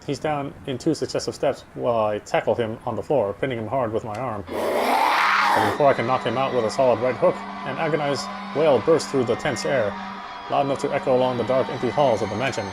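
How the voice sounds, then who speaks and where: A man's voice: mid-range voice, brisk, rough recording. A man reading a game's story aloud as dramatic narration, as a player would. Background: game music.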